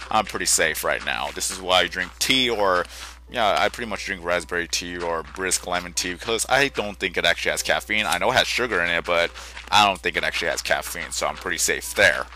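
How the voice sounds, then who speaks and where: A tired young man rambling through casual gameplay commentary, often restarting his sentences and correcting himself, with a soft, quiet voice. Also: deep voice. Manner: rambling, self-correcting chatter. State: tired from little sleep. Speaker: gaming channel host. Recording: game music faintly underneath. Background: faint game music.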